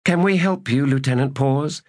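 A man's voice: medium pitch, moderate pace, darkish tone, voice pushed up, close to an everyday voice. A man reading an audiobook, voicing a weary, blunt female army sergeant in a brusque, exasperated manner. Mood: dry insolence.

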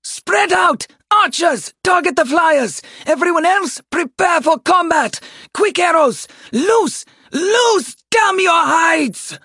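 Man acting a gruff, commanding army general. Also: on the high side, animated, measured pace, no echo, clean studio sound, full character voice. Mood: urgent, commanding. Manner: commanding, forceful shouting. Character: gruff, battle-hardened male army commander barking orders.

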